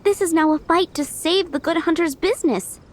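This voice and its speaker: A woman's voice: very high voice, moderate pace. A woman playing a polite, earnest and overworked young restaurant worker in a video game. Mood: stressed, earnest. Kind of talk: animated video game voice acting.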